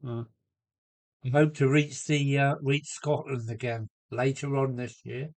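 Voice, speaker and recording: A man with a cold chatting casually on a home live stream from his loft, answering viewers and trying out halting Spanish phrases. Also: medium pitch, level tone, darkish tone. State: has a cold. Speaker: model railway live streamer.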